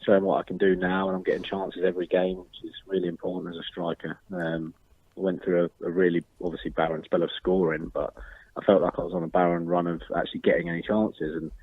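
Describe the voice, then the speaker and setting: A young man in his twenties talks over a tinny phone line. His voice sits very low and sounds dry and close. He answers questions modestly and measuredly, full of ums and restarts. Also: husky, dry recording. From Northern Ireland. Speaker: football striker, interview guest. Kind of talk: casual interview answers.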